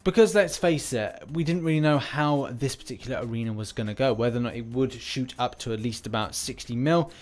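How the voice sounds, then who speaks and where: A man's voice: medium pitch, fairly quick, few pauses. A man hosting casual gaming news commentary in a conversational, slightly rambling manner. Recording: voice-over with music behind.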